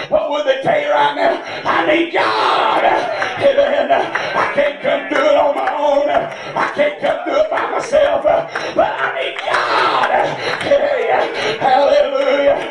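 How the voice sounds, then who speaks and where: A hoarse middle-aged man preaching a sermon as pastor, filling every pause with "uh" and "amen.". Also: very high-pitched, far from the mic. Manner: preaching in bursts with constant "uh" and "amen" fillers. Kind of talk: shouted sermon. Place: church sanctuary. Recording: echoey church hall from afar. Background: congregation.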